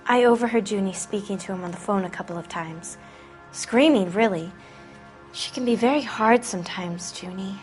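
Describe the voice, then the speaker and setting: A woman's voice: mid-range voice, normal speed, darkish tone, soft background music, natural voice. A woman voicing a naive, frightened teenage girl in a video game, hesitant and plaintive. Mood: hesitant, fearful.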